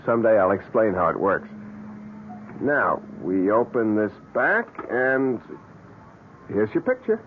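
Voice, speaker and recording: An actor playing an eager, excitable young camera enthusiast in an old-time radio drama. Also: male voice, on the low side, slow pace, pauses often. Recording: muffled vintage radio broadcast, faint noise.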